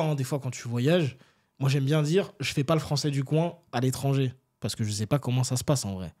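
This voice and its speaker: A man's voice: medium pitch, mellow, husky. Casual, slangy man telling stories. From France. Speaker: interview guest. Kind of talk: casual conversational storytelling.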